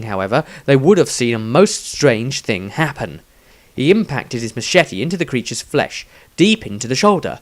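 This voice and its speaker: A man reading his own scripted fantasy story aloud as narrator, with a dramatic storytelling delivery. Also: medium pitch.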